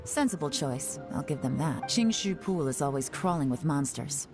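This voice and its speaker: A cool female intelligence operative with a low voice. She is composed and commanding, as in a story-driven video game. Prominent music plays behind her. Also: lively intonation, moderate pace. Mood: cool, assured. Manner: composed, authoritative. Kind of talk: scripted video game voice acting.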